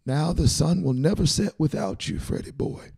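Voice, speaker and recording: A man voicing an elderly grandfather in a storybook, sentimental and grateful, speaking tenderly and haltingly with a creaky voice. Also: a little high, voice pushed up. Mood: affectionate. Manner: halting, tender, soft-spoken. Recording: long hall reverb on the voice.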